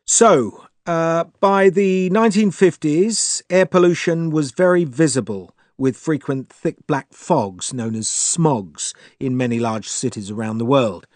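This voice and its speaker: A man's voice: a little high, measured pace, hardly any pauses, a little mellow, dry recording. A man delivering a scripted academic lecture as the lecturer.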